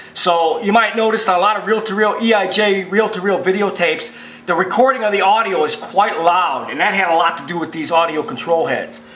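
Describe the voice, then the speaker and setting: A man's voice: sits high, sharp tone. A man giving an informal repair tutorial as a technician, rambling and repeating himself in a folksy, emphatic way. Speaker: video machine repair technician and presenter. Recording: roomy, echoing sound.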